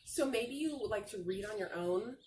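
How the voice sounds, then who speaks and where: A woman reading a picture book aloud like a teacher, talking to young children in a simple, encouraging, expressive way with drawn-out phrases. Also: medium pitch. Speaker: read-aloud storyteller and teacher. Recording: roomy echo, distant mic, faint noise.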